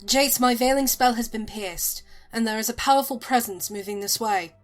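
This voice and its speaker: A woman's voice: a little high, faint music behind, close to an everyday voice. A woman playing a composed, courteous moonfolk traveler who speaks formally and earnestly in an audio drama. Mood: urgent. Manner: formal, measured.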